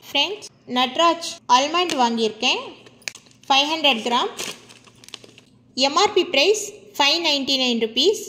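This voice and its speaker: A woman with a Tamil Indian accent speaks in a high, bright voice with a long hollow reverb around it. She works through a shopping haul item by item, in the direct manner of a family vlogger talking to viewers. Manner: addresses viewers as friends, itemized listing delivery. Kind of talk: shopping haul voice-over listing items and prices.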